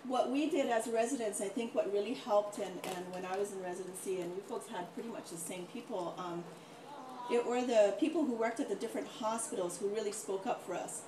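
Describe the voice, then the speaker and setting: A middle-aged woman speaking informally as a doctor on a panel, telling anecdotes in an animated way and acting out what people said. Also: mid-range voice, a little fast. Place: large echoey room, event with audience. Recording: echoey, distant mic, noisy room.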